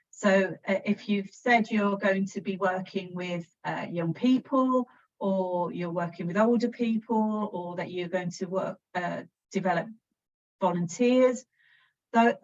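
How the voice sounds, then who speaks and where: A woman with an English accent, a council officer explaining a process in an informal presentation, steady and practical with frequent restarts. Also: mid-range voice. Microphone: video call. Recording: distant, dull video call audio.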